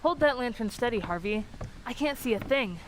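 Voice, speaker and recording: A woman playing a calm, reassuring, matter-of-fact small-town sheriff in an old-time radio drama. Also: a little high, moderate pace, background noise, lightly acted.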